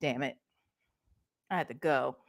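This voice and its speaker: A woman's voice: a bass voice, a little slow, gravelly. A middle-aged American woman from Ohio telling stories casually as a livestream host, emphatic and sassy, often checking in with the listener. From Ohio, United States. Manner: animated, emphatic, sassy, conversational with tag questions. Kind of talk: casual storytime chat.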